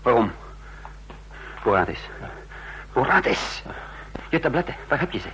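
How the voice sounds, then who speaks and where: An actor playing a stern intelligence chief who also narrates a radio drama, grave, deliberate and authoritative. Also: male voice, mid-range voice, big pitch swings, pushed and bright, a little hiss or hum, poor audio. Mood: urgent, commanding. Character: commanding intelligence chief, coldly furious, remorseful narrator. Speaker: narrator and lead character. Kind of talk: dramatic radio play acting.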